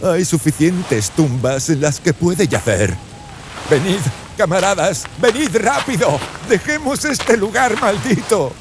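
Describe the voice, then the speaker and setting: An actor playing a frightened, superstitious soldier in a dramatized audiobook, speaking in urgent exclamations. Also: male voice, high voice, lively intonation, takes it slowly, over music. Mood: fearful, urgent.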